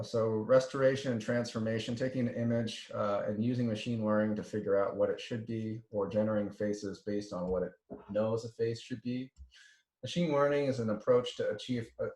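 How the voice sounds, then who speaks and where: A man lectures in an informal, halting way, restarting phrases and checking that listeners follow. His voice sits at a medium pitch and carries noticeable room echo, like a class held over a video call. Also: a little mellow. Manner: halting, with self-corrections and checking "right?". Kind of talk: informal slide lecture with live demos.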